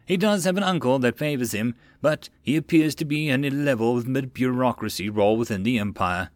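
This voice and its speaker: A man voicing a measured intelligence officer in an audiobook, giving a formal, explanatory report. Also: medium pitch, no room sound, natural voice.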